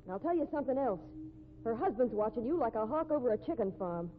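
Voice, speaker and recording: An actress playing a tough, jealous, scolding fiancée of a cowboy in a 1950s radio drama, with American accent and blunt folksy slang. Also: female voice, on the high side, animated, fast talker, phone-quality sound, lightly acted. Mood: jealous, demanding. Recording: thin, noisy vintage radio recording.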